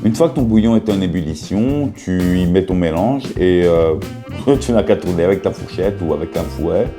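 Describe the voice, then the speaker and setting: Deep-voiced hoarse man with a cold. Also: animated, normal speed. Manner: joking, chatty, talks straight to the viewer. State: sick with a cold, sore throat. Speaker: cooking vlogger. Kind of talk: casual cooking video narration. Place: his bedroom. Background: guitar music.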